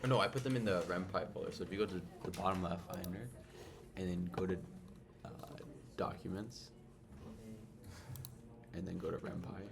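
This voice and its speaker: A man's voice: sits low, fairly even intonation, moderate pace, gravelly, long pauses. A young man chatting casually as he helps out with practical instructions. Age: young adult. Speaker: workshop co-organiser. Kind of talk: casual helping chat. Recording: busy room, loud chatter. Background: loud crowd chatter.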